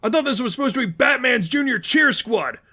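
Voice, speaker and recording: A man voicing a giant, hot-headed armored male villain in an audiobook-style story, shouting in exasperation. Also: very high-pitched, expressive, fairly quick, voice pushed up, exaggerated voice. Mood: panicked outrage.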